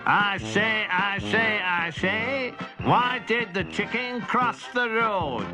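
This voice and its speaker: A man voicing a blustering dragon's head that tells jokes like a music-hall comedian in a children's audiobook. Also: high voice. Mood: hammy, gleeful. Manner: repetitive stand-up comic patter, drawn-out punchlines.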